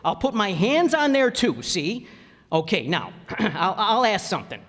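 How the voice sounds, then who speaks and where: A man's voice: sits high, big pitch swings, unhurried, fairly bright tone, heavily acted. A man playing a blustering, good-natured working-class father in an old-time radio comedy, folksy and ungrammatical. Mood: mock-serious. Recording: reverberant hall stage recording.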